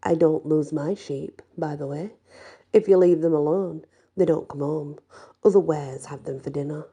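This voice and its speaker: Woman as a husky, laid-back shepherd man. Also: sits low, normal speed, mellow, dull audio. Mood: wry. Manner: casual, wry. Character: laid-back, buff male shifter shepherd. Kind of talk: acted storybook dialogue.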